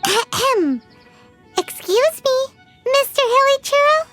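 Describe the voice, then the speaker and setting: A woman voicing a tiny, childlike, cheeky fairy companion in a video game, squeaky and cutesy. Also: expressive, slow, a bit bright, soft background music, exaggerated voice. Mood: cheeky, hesitant. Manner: squeaky, cutesy, refers to self by name. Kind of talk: English video game voice acting.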